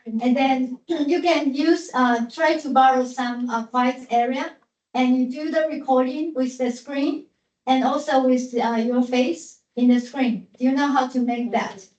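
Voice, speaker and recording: A woman's voice: high-pitched, fairly even intonation, measured pace, a little mellow. A woman teacher giving classroom instructions with many fillers and repeated words. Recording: echoey classroom from far off, dull.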